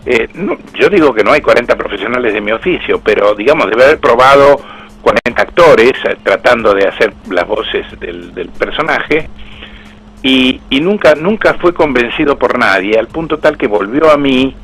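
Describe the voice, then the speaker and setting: Un hombre mayor con acento argentino, actor de voces entrevistado, que cuenta anécdotas con orgullo, con muchas reformulaciones y un timbre algo ronco. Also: male voice, mid-range voice, expressive, normal speed, fairly bright tone, slightly rough, rough recording. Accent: Argentine Spanish. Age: older. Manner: storytelling, proud, with restarts. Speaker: voice actor interviewed. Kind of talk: anecdotal interview talk.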